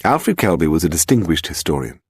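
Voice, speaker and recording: A man's voice: very deep voice, very animated, fairly quick, breathy edge, dry recording. A man with a British accent reading a story as a scripted audiobook narrator.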